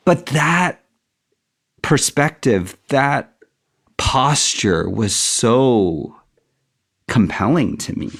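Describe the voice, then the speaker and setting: A man's voice: medium pitch, sing-song, drawn-out words, long pauses, a bit bright. A middle-aged man speaking as an interview guest, thoughtful and candid with a self-deprecating touch. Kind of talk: reflective interview conversation.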